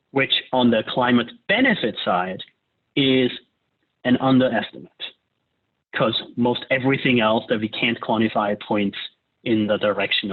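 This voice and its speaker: A man from Austria, a climate economist, explaining policy in a loose lecture style, often checking in with "right?". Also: mid-range voice. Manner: casual, frequent "uh" and "right?" tags. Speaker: climate economist leading a discussion. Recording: tinny phone-like line.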